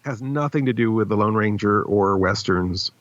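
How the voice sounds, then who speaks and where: A man's voice: lowish voice, moderate pace, no room sound. A man hosting a podcast in casual, wry, teasing chat. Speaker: podcast host. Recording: slightly dull, dry recording.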